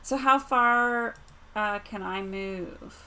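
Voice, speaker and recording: A woman's voice: mid-range voice, very slow, a crowd in the background. A woman playing a tabletop game, chatting casually with the group.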